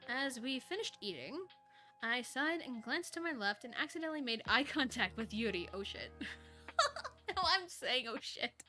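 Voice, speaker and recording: A woman with a bright, fairly high voice reads fanfiction aloud on a stream. She is expressive and playful, slipping between narration and chatty asides. Music plays behind her. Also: unhurried. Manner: expressive, playful, giggly, reacts to the story. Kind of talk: dramatic story reading with chatty asides.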